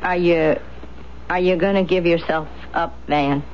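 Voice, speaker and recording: A woman's voice: on the low side, steady tone, measured pace, close to an everyday voice. An actress playing a motherly, homespun old country woman in a radio drama, with a folksy, pleading manner. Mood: worried, pleading. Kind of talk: 1970s radio drama acting. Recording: dull, noisy 1970s radio recording.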